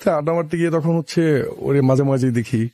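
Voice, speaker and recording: A young man with a Bangladeshi accent telling a personal story casually as a guest, halting and colloquial, mixing in English words. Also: a little high, mellow, dry recording. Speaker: interview guest.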